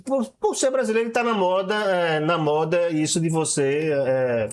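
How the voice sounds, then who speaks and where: Brazilian guy, middle-aged writer, kinda high voice, chatty, keeps restarting his sentences. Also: slight background noise. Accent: Brazilian Portuguese. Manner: animated, halting with many restarts. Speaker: guest writer. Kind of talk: casual conversation.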